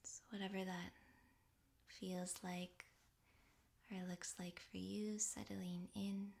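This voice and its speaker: Slow, soft woman guiding meditation. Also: mid-range voice, level tone. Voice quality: soft, breathy, half-whispered. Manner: gentle, unhurried, with long pauses. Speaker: yoga nidra meditation guide. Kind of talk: guided relaxation meditation.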